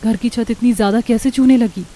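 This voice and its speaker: Anxious, high-voiced young woman acted out. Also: brisk, dark tone, faint music behind, natural voice. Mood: anxious relief. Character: anxious, relieved young sister-in-law of poor family. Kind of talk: Hindi cartoon story acting.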